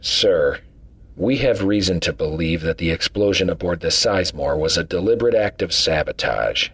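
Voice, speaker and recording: A man playing a husky, steady starship commander. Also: low-pitched, normal speed, full character voice. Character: steady male starship commander, acting captain. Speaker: acting captain.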